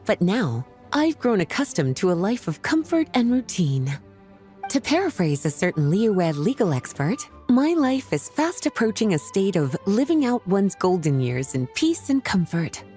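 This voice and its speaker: A woman's voice: medium pitch, big pitch swings, moderate pace, dark tone, soft background music, natural voice. A woman voicing a worldly, self-assured and playful lady in a video game, articulate, teasing and affectionate. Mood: composed, conversational. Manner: teasing, flirtatious, articulate, savoring her words. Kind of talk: scripted video game dialogue.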